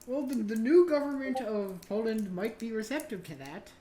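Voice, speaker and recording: A woman's voice: a little high, normal speed, dark tone. A woman in casual political discussion as a podcast co-host. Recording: roomy echo with background noise.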